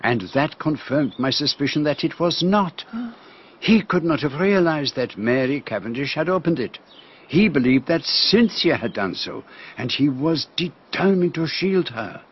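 An astute Belgian detective in a radio drama. His French-accented voice is husky, with formal, precise phrasing that savours each explanation in a fussy, teasing way. The recording is a little dull and lo-fi. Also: on the high side, animated, measured pace. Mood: gently explaining.

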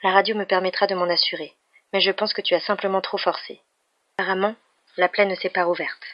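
A storybook narrator voicing a confident, bossy but kind young woman doctor with a brisk, professional manner. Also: mid-range voice, little pitch movement, no room sound, close to an everyday voice. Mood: reassuring, teasing. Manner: professional, matter-of-fact, commanding. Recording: dull, muffled lo-fi recording.

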